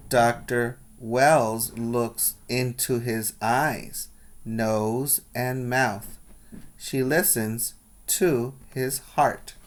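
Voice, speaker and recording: A male preschool teacher reads a children's book at a slow, measured pace in a mid-range voice. He is instructional, adding simple explanations and questions for his young listeners. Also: medium pitch. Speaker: preschool teacher reading aloud. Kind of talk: children's read-aloud with explanatory asides.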